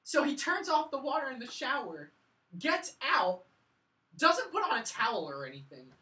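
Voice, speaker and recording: Bright-voiced woman in a roomy recording. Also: high voice, normal speed. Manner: animated recounting, frequent "like". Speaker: film review podcast co-host. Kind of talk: casual film review chat. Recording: roomy, a bit far from mic.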